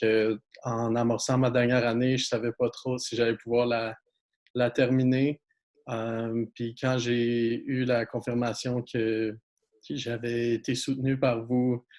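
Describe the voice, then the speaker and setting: A young man with a Québécois French accent, a new graduate thanking donors, speaking hesitantly with many pauses and audible emotion. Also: mid-range voice, a little mellow. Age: young adult. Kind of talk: spontaneous thank-you speech. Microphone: video call.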